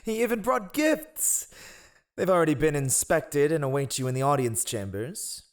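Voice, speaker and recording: A man's voice: a little high, sing-song, moderate pace. A man playing a ruthless, sardonic spy master who advises a monarch with silky, mocking courtly formality in a fantasy audio roleplay. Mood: smoothly courtly.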